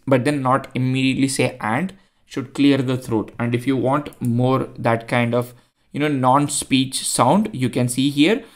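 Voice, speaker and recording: Indian guy, chatty tutorial host, lots of um and like, mid-pitched. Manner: chatty, many fillers and restarts. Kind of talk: screen-recorded coding tutorial.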